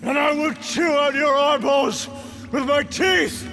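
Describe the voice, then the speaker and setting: A man's voice: extremely high pitch, slow, big-room sound, heavily acted. A man voicing a proud, defiant thunder god warrior with bold, commanding, declamatory delivery in a dramatic comic book reading. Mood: furious defiance. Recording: clear background ambience noise.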